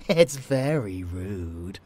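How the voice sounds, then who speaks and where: A woman voicing an unhinged, rebellious male vampire lover in an ASMR roleplay, teasing and menacing in a breathy, drawling whisper. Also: extremely low pitch, darkish tone, full character voice. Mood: teasing, seductive.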